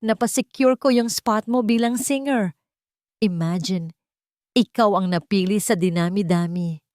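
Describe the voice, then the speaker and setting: A woman voicing an excited, pushy young female best friend in an audio drama, gushing in slangy Tagalog mixed with English. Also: mid-range voice, unhurried, dry recording, clean studio sound, close to an everyday voice. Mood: gleeful.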